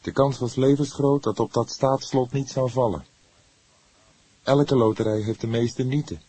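A man's voice: medium pitch, unhurried, dark tone, breathy edge, dry recording, pitched above the performer's own voice. A man voicing an earnest, defensive young man under questioning, speaking emphatically in acted audiobook dialogue. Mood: nervous. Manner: emphatic, flaring into defiance.

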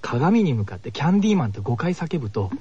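A man appearing as a radio guest, casually explaining things in an amused, gently correcting way. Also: fairly high pitch, big pitch swings, quick pace, husky. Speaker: radio guest recommending horror films. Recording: muffled lo-fi 1990s radio recording.

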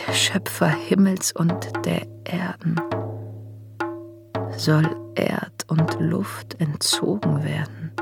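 A grieving woman recites sacred verse in a radio drama. Her voice is lowish and creaky, and she moves extremely slowly, letting phrase ends fade into breath. Loud music plays behind her. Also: exaggerated voice. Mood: hushed grief. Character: mourning woman lamenting the crucified Christ.

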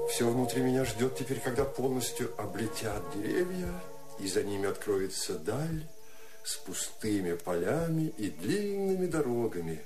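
An actor playing an enraptured, melancholy poet in a radio play, declaiming love letters solemnly and tenderly. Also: male voice, medium pitch. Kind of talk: poetic radio play monologue.

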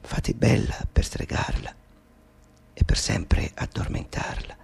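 A man voicing a witch who whispers a rhyming poison spell in a hushed, chanting way. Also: takes it slowly, a little hiss or hum, close to an everyday voice. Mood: sinister.

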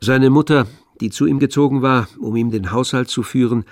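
A man's voice: medium pitch, normal speed, no echo, studio quality. A man reading scripted literary narration for a radio play. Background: faint string music.

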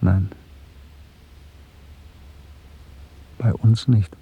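An actor playing a bitter, brooding male dissident in a radio drama, earnest and intense with a husky voice. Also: fairly low pitch, fairly even intonation, a little slow, dark tone, dry recording, exaggerated voice. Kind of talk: German radio drama acting. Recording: muffled phone-like band, faint music behind.

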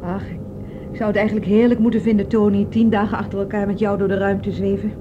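A woman's voice: mid-range voice, flat delivery, fast talker, natural voice. An actress playing an anxious young woman fleeing through space in a Dutch radio drama, worried and full of questions. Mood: anxious, tender. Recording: dull, noisy old radio play.

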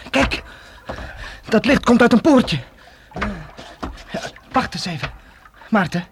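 A man's voice: high voice, expressive, slow pace. An actor playing a bold, impatient young male adventurer in a Dutch radio play, speaking in urgent, clipped commands. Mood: wary. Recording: noisy old radio drama recording.

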